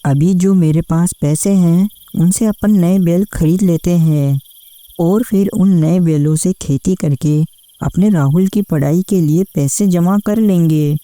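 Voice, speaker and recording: A woman's voice: fairly low pitch, unhurried, mellow, no echo, lightly acted. A woman voicing a hardworking, kind-hearted farmer husband in a cartoon story, speaking in an earnest, plaintive way. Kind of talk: scripted cartoon story acting. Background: background music.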